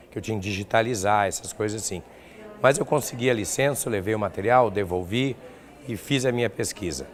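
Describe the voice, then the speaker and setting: An older man with a southern Brazilian accent, a professor answering interview questions in an explanatory way with examples. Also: lowish voice. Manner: explanatory, illustrates points with examples. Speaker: academic researcher and professor being interviewed.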